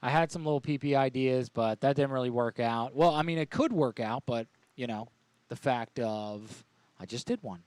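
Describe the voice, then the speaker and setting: A man's voice: mid-range voice, normal speed, darkish tone, no echo. A man casually bantering as host of a live prank call show, with crude, slangy joking.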